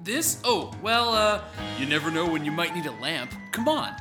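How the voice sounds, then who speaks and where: A woman voicing a plucky, naive boy hero in an earnest, excitable way for a children's comic story. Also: fairly low pitch, moderate pace, music in the background. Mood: eager.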